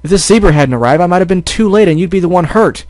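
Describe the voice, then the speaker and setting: A man voicing an exhausted adult stallion who shouts and scolds his little sister. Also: medium pitch, normal speed, dry recording, lightly acted. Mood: angry. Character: adult stallion, exhausted and angry big brother.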